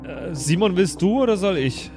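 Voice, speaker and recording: Guy player chatting casually, highish voice, slangy english bits, faint music behind. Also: lively intonation, moderate pace. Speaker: player and show regular. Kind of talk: casual improvised role-play chat.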